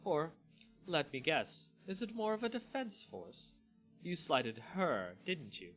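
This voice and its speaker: A man's voice: on the high side, sing-song, slow, a bit bright, soft background music, pitched above the performer's own voice, full character voice. A man voicing a smirking, defiant female necromancer in a dramatic fantasy story reading, cool and taunting. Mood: smug taunting.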